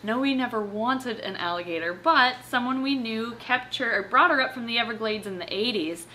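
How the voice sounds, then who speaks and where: A young woman hosting in a casual, chatty way, with an expressive, playful manner. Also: on the high side, normal speed, low-quality recording. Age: young adult. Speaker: host of a reptile channel. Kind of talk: casual chatty presenting with read-aloud passages. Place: living room at home, on a couch. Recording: clear background room noise.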